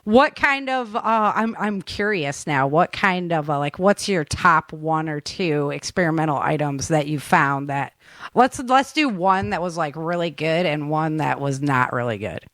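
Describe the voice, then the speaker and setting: A woman's voice: low voice, lively intonation, a little slow, fairly bright tone, no echo. A woman hosting a casual interview, chatting in an encouraging, affirming way with her guest. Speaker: podcast host interviewing a guest.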